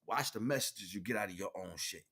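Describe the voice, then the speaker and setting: Bright-voiced American man, streetwise and emphatic. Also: fairly low pitch, brisk, gravelly, slightly rough. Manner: streetwise, slangy, emphatic, repeats points. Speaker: streamer giving spiritual teachings. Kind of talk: casual off-the-cuff monologue.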